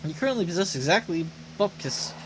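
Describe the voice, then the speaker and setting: A woman voicing an unflappable digital assistant in an anime game, formal, brisk and deadpan. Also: fairly low pitch, noisy background, close to an everyday voice. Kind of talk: voiced reading of anime game dialogue. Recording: loud game music behind voice.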